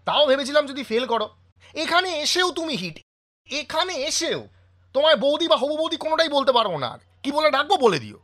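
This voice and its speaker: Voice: high voice, a bit bright. An actor playing a loyal, sulky younger sidekick in an audio drama, earnest, wordy and dramatic. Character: loyal, sulky younger sidekick, protective of elder brother figure. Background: music.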